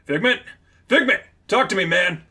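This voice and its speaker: Man as an urgent young male thief, high-pitched. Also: lively intonation, normal speed, bright, forceful tone, husky. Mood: urgent alarm. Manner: urgent, exclamatory.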